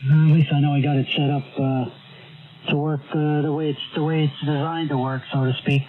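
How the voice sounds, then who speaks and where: A man on a ham radio link, chatting casually and relaxed about his microphone setup. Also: fairly high pitch, a little fast. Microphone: boom mic with foot pedal. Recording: tinny radio signal with background chatter.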